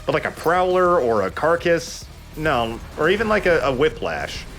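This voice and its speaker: A man's voice: a little high, sing-song, moderate pace, fairly bright tone. A man chatting casually as he gives commentary on a video game he is playing. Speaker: let's play gamer and commentator. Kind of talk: casual joking gameplay commentary. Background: faint game music.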